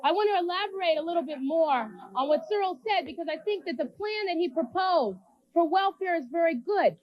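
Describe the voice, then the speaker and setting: Woman, high-pitched, deliberate, assertive, audience opinion, slightly muffled recording. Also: expressive. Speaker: audience member. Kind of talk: opinion given in live debate.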